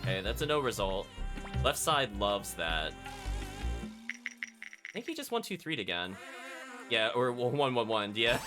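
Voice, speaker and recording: Casual male game streamer over loud music. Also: medium pitch, huge pitch swings, a little slow, long pauses. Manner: chatty, thinks aloud, addresses the chat. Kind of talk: casual live gameplay commentary.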